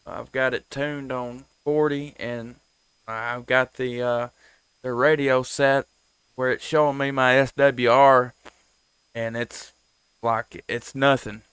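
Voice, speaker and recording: A man chatting casually as a ham radio operator, plain-spoken with hesitant pauses. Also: medium pitch, fairly bright tone, clean tone, dry recording. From Tennessee. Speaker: ham radio operator, live stream host.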